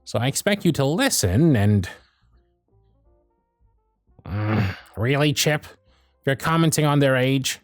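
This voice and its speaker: A man playing a bossy but fond elf workshop supervisor in an ASMR roleplay, brisk and teasing with soft asides. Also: mid-range voice, sing-song, no room sound, clean studio sound, faint music behind. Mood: stern, sardonic. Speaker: voice actor. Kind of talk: ASMR roleplay audio drama.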